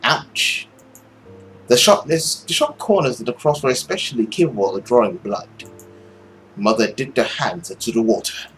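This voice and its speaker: A young man reading a visual novel aloud as a gaming host, expressive and theatrical, recorded at home. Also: mid-range voice, moderate pace, soft background music, low-quality recording. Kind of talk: dramatic read-aloud narration with reactions.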